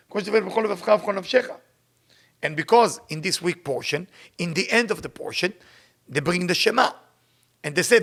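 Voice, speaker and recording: Israeli guy teaching kabbalah, gravelly bright voice, keeps hammering the same words. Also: sits high. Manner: emphatic, repeats phrases for stress; rapid recitation when reading Hebrew text. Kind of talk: informal spoken teaching with storytelling.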